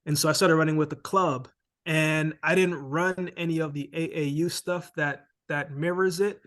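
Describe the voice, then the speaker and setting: A relaxed man from Jamaica, in his thirties, speaks as a guest on an interview show. His voice sits a little higher than most men's, and he tells his story in a loose, easy way, often adding "man" and "right?". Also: moderate pace. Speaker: interview guest, former sprinter.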